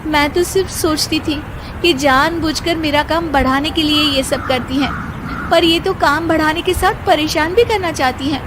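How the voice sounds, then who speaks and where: A woman's voice: high-pitched, normal speed, background noise, voice pushed up, close to an everyday voice. A woman voicing a polite, bewildered young newlywed bride in a cartoon story. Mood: flustered. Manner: polite, flustered, talks to herself.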